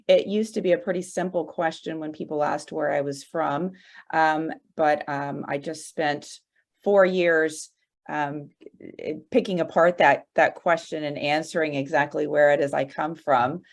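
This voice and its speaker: A woman in her forties from Arkansas, a guest in an interview, talking slowly with many fillers and restarts. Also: sits low, fairly even intonation, gravelly. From Arkansas, USA. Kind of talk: casual interview conversation.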